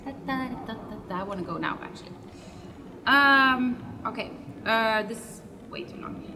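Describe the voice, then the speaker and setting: A woman's voice: a little high, animated, moderate pace. Chatty woman, distant and noisy. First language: Swedish. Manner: chatty, rambling, corrects her own words. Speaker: web show host. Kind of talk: casual vlog answering fan questions.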